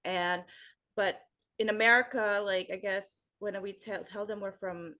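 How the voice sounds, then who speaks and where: Bright, chatty young woman. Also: medium pitch, unhurried. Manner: animated, chatty, lots of "like". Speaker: podcast guest. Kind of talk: casual chat.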